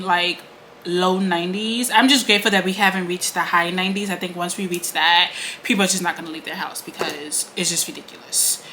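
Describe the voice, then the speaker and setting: A woman's voice: medium pitch, bright, forceful tone, slight background noise. A woman in her late twenties chatting casually at home while doing a makeup tutorial, with lots of "like" and "um" fillers. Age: late 20s. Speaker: beauty vlogger. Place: home, indoors.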